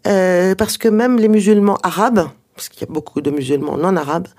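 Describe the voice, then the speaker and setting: A native Arabic speaker of medium pitch giving explanations in an interview, hesitant and digressive, with emphatic points. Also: moderate pace, a little mellow. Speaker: interviewed author and researcher on early Islamic texts.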